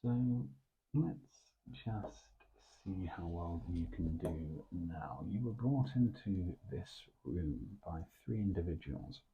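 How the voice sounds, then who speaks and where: A man acting as a composed British intelligence officer interviewing a recruit in an ASMR role play. His voice is very low and gravelly, with a lot of breath in it. He speaks formally, in a measured hush, and the sound is thin and band-limited. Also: expressive, muffled recording, full character voice. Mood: probing, formal. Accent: British English. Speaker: ASMR performer.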